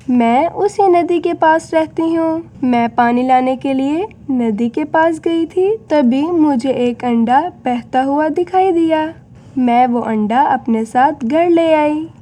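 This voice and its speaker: Woman as a slow, kindly cartoon grandmother. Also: high voice, warm tone. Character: kindly human grandmother raising a bird chick.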